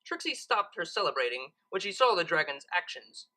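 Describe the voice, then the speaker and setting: A woman's voice: lowish voice, very animated, fairly quick, pushed and bright, rough recording. A woman who hosts story readings, moving between narration and casual chat. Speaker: fan fiction reader and channel host.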